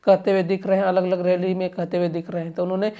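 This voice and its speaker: A woman's voice: fairly low pitch, flat delivery, quick pace, highs cut off. A woman giving informal political commentary, emphatic and often restating her points. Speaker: news commentator. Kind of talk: informal political commentary and explainer, addressing government directly.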